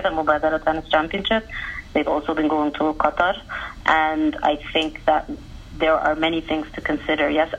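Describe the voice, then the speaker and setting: A woman's voice: deep voice, a bit bright. Woman on a dull phone line. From Egypt. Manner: earnest, fluent, quick to explain. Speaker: tennis journalist guest. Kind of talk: conversational interview answers.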